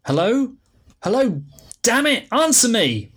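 A man voicing a desperate young female spy, shouting frantically in a husky voice. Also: very high voice, very animated, takes it slowly, voice pushed up, full character voice. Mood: frantic.

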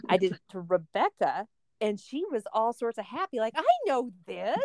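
A middle-aged woman co-hosting a casual chat podcast, an animated storyteller who acts out voices. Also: on the high side, moderate pace, no echo, studio quality. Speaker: podcast co-host and substitute teacher.

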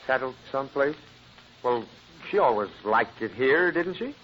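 A man's voice: mid-range voice, animated, a little slow, lightly acted. A man playing a sheepish, flustered middle-aged husband and father with hesitant delivery in an old radio comedy. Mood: glum. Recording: dull, noisy old radio recording.

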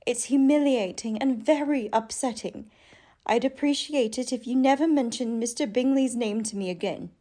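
A woman playing a gentle, dignified young daughter in a radio drama, polite and quietly hurt. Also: a little high, moderate pace, natural voice. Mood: hurt, composed. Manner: polite, restrained.

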